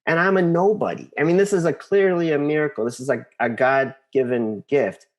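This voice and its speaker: An American man speaking as an interview guest, telling personal stories casually and earnestly, often halting and repeating words as he thinks. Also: on the high side. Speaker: interview guest, composer and conductor.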